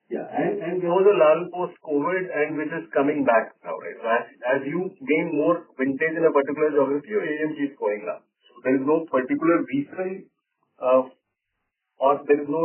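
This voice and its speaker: Man on a distant, tinny phone line. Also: fairly high pitch. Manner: explanatory, often checks with "right?". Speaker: company executive answering analysts' questions. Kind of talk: unscripted earnings call answers. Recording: narrow-band phone line, distant from mic.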